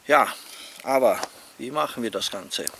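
A middle-aged man with an Austrian accent talking casually and haltingly to camera as an outdoor vlogger. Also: mid-range voice, lively intonation. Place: outdoors among leafy trees. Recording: outdoor ambient noise.

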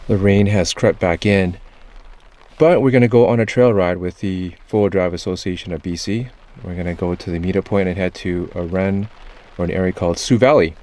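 A man narrating a casual camping and off-road vlog in a low-key, informal way, recorded outdoors. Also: steady tone, brisk, warm tone. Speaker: vlog host. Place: outdoors at a campground and trails. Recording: background noise, outdoors on location.

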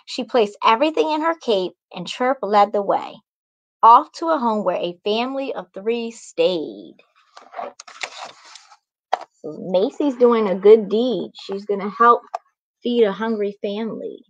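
A woman's voice: mid-range voice, lively intonation, a little slow, dull audio, a little hiss or hum. A young adult woman, a children's author, reading a storybook aloud and explaining it simply to young listeners. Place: small indoor room.

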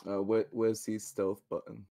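A man's voice: on the low side, little pitch movement, unhurried, gravelly, mellow. A man talking casually as a tabletop game player, hesitantly thinking his moves aloud.

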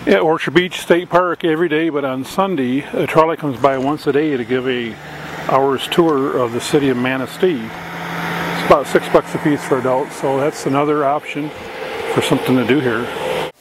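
A man from Michigan casually narrating a camping trip outdoors, relaxed and rambling with lots of uhs, recorded on a handheld camera. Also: mid-range voice, normal speed, slight background noise, poor audio. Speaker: RV camping vlogger. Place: outdoors at a lakeside campground.

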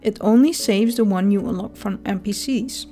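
A woman's voice: mid-range voice, normal speed, warm tone, faint music behind. A woman with a Dutch accent, a native Dutch speaker, narrating a scripted game guide with dry, self-mocking humor.

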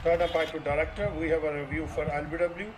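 A man's voice: on the high side, steady tone, fast, background noise. A man, an umpire on the review channel, giving clipped procedural instructions during a review. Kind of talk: umpire review call over a stadium feed.

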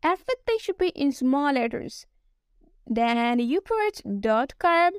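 A Nigerian woman with a Nigerian accent, a business English coach talking alone on her podcast, spelling out words slowly. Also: high-pitched, no room sound, studio quality. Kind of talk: solo podcast monologue.

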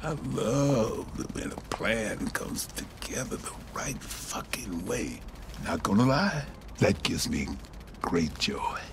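A swaggering game gangster, extremely slow and swooping in pitch. He gloats and savours each phrase, with clear noise behind him. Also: big pitch swings. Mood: gloating. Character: gleeful, swaggering male gangster savouring schemes. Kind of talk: cinematic video game acting.

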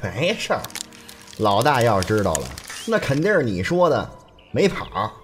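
Casting: male actor as gossipy young henchman, lively mid-range voice, teasing, audio drama. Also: medium pitch, normal speed, faint music behind, close to an everyday voice. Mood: playful. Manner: teasing, chatty. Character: cheeky, gossipy young gang underling. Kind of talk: Chinese audio drama acting.

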